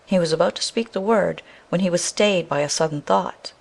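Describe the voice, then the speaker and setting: Woman reading a fairy tale audio story, lowish voice, lots of vocal fry. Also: a little fast. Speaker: storyteller, narrator. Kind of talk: scripted fairy-tale narration.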